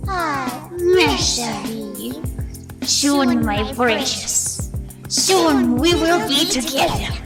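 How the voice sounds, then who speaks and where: A performer voicing a gleefully insane, pompous captain in a theatrical, drawn-out comic dub style. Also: sits high, expressive, exaggerated voice. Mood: lovestruck. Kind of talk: comic dub character acting. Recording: long reverb, loud music behind. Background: music.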